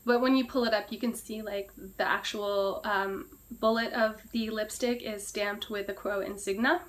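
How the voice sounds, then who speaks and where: A woman with a hoarse, cracking voice she says she is losing, chatting casually through a beauty product review and often clearing her throat. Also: mid-range voice, steady tone, moderate pace. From Canada. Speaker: beauty vlogger.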